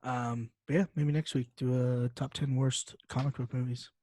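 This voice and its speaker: A man's voice: medium pitch, little pitch movement, moderate pace, warm tone, no echo, studio quality. A man co-hosting a podcast in casual, slangy chat, with frequent fillers as he talks through lists and figures. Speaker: podcast co-host.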